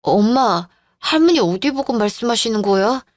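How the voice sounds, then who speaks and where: A woman's voice: on the high side, expressive, normal speed, dry recording, clean studio sound, close to an everyday voice. Woman voicing an emotional teenage granddaughter. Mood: upset. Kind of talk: Korean radio-drama style story.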